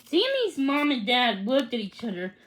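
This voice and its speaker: A woman's voice: high voice, huge pitch swings, moderate pace, background noise. A woman reading a children's storybook aloud, stumbling and repeating words here and there as she goes. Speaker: read-along reader.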